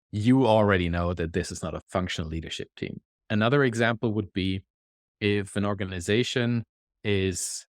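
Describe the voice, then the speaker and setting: A man with a German accent, a podcast guest, explaining his work in a thoughtful, measured way. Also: on the low side, no room sound, studio quality. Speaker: guest, executive consultant. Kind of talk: conversational interview.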